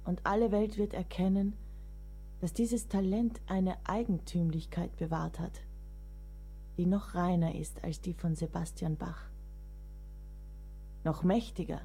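Mellow, motherly writer nearing forty. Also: on the low side, slightly rough. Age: middle-aged. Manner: motherly, wry, dramatic. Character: devoted, exasperated woman writer nearing forty. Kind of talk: radio play acting.

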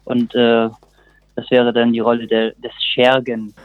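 A man's voice: medium pitch, completely flat delivery, unhurried, phone-quality sound. A man performer talking in an informal interview, often correcting himself as he goes, heard over thin call audio. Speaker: musical performer.

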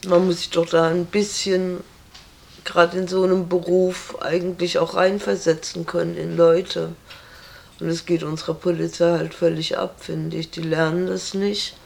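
A woman's voice: lowish voice, little pitch movement, moderate pace, creaky, a little mellow. A woman answering interview questions in a blunt, firm and direct way.

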